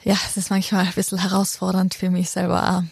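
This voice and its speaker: A woman's voice: fairly low pitch, monotone, fast talker, gravelly, a little mellow, slight background noise. A young woman in her twenties with an Austrian accent, chatting casually as an interview guest, often laughing and slipping in English words. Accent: Austrian German. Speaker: interview guest, content creator.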